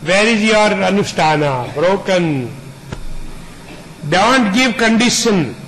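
A man with a noticeably high voice gives a spiritual teaching at a very slow, deliberate pace. He speaks earnestly, stacking up repeated lists of words. A faint hiss of background noise runs under him. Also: a bit bright, low-quality recording. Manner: unhurried, builds long repeated lists. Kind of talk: spiritual discourse to an audience.

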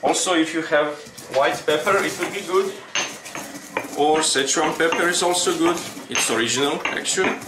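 A man with a Croatian accent giving an informal cooking demonstration, explaining things in a halting way. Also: a little high. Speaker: cooking instructor. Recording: roomy, distant mic with clear background noise.

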